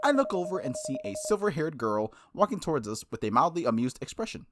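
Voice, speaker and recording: Male let's-play host, lively swings in pitch, reading story text with casual asides, music behind. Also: quick pace. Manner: expressive read-aloud, slangy asides. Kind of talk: reading a visual novel aloud with casual reactions.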